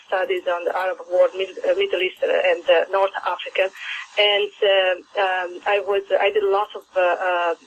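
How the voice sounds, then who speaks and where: Woman, Italian accent, hesitant, filler-heavy, mid pitch, dull lo-fi broadcast sound. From Italy. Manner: hesitant, many fillers and restarts. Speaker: guest, play adaptor. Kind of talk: radio interview.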